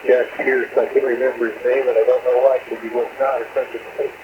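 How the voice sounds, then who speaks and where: Halting man on very noisy ham radio. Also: medium pitch, flat delivery, phone-quality sound. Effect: ham radio transmission. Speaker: amateur radio operator. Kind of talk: rambling on-air casual chat. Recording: loud static over ham radio.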